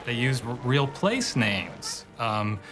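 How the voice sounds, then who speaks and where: A man giving measured explanatory commentary in a documentary interview. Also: mid-range voice, very animated, fairly bright tone, soft background music.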